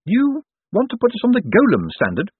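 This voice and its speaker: Man voicing a high-pitched, sharp woman reporter. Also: wildly swooping pitch, brisk, slightly rough, dry recording, heavily acted. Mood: probing. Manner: pointed, raised-voice questioning. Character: sharp, sceptical, persistent woman newspaper reporter. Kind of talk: audiobook character voice. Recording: thin phone-like narrow-band audio.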